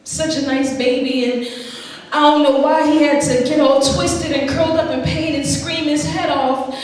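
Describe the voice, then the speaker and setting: A heartbroken mother, played by a woman, mourns her baby in halting, sorrowful phrases, her voice sitting a little high. She is heard from a distance across a big reverberant theater hall. Faint room ambience hangs behind. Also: steady tone, a little hiss or hum, natural voice. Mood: grieving. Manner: halting, sorrowful, broken pauses. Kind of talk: stage monologue. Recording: roomy hall from far off.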